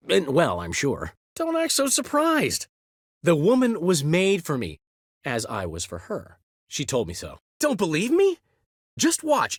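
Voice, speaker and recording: A flamboyant, vain bard, theatrical and wry as he boasts and teases his friends. His pitch swings in a lively way, with a slightly husky grain. The recording is dry and close, with no room sound. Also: no echo, exaggerated voice. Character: flamboyant male bard, vain, charming, talkative. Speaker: game character. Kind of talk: game dialogue voice acting.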